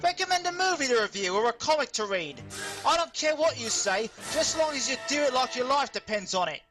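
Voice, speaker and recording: A woman's voice: high voice, expressive, a little fast. A woman reading a scripted promo as an announcer, in a dramatic and menacing manner. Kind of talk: scripted promo read over music. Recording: voice over music bed.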